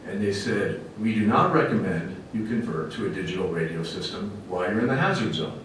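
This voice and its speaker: A man's voice: lively intonation, fairly quick, a bit bright. A man speaking as a fire officer giving a public presentation to a committee, in an emphatic, plainspoken, folksy way. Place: large echoey indoor meeting room. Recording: very echoey room, distant mic, faint rumbling noise.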